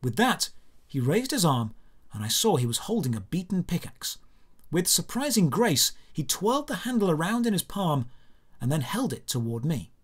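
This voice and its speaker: A male storyteller with a higher-pitched, husky voice narrates a fantasy adventure in the first person. His delivery is measured and descriptive, with very lively intonation and dramatic phrasing.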